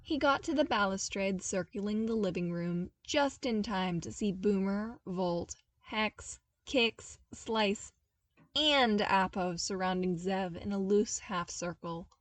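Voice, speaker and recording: Female audiobook-style narrator, mid-range, expressive storytelling read, dry room, slightly off-mic. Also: medium pitch, no room sound, recorded from a distance. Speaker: podfic narrator reading fan fiction.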